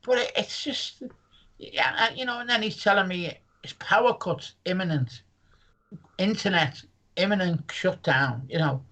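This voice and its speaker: A man's voice: high voice, moderate pace, bright, forceful tone, rough recording. A man, a comedian rambling jokily through a casual interview chat. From Merseyside, England. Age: 60s. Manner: rambling, jokey, full of asides and restarts. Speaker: comedian guest.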